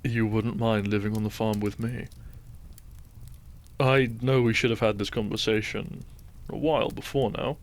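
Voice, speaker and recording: A man playing a gentle, devoted doctor and groom in a romantic audio roleplay, soft-spoken and measured. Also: lowish voice, steady tone, natural voice. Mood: surprised.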